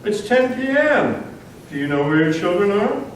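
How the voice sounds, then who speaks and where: A woman's voice: mid-range voice, big pitch swings, normal speed, slight background noise. A woman performing lines in a staged group reading. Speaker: readers theater performer. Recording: very echoey hall, distant microphone.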